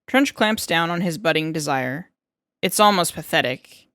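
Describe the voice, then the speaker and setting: A woman's voice: low voice, animated, moderate pace, fairly bright tone, no echo, clean studio sound. A woman reading scripted fiction as an audiobook narrator.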